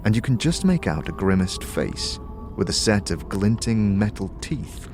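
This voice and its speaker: Music plays behind a man with a fairly low voice. He narrates a dark fantasy audio drama in the second person, with vivid, suspenseful delivery. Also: big pitch swings, moderate pace.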